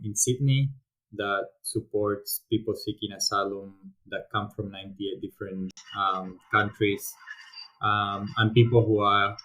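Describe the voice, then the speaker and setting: A man from Spain with a Spanish accent, a podcast guest talking through his career in a thoughtful, casual way with frequent pauses and restarts. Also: on the low side, fairly bright tone, poor audio. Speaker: podcast guest, volunteering program director. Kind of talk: casual interview talk.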